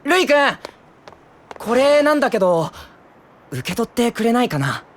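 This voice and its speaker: A man's voice: very high-pitched, moderate pace, slight background noise. A man playing an earnest, kind-hearted young defense lawyer who flusters easily, in an audio drama. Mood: gentle, earnest. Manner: earnest, casual, expressive. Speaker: defense attorney. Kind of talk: Japanese audio drama acting.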